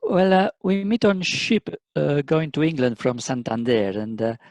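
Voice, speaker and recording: A man playing a haughty Spanish set designer in a comic radio play, with a Spanish accent and blunt, halting English. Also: a little high, a little mellow, no echo. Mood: matter-of-fact.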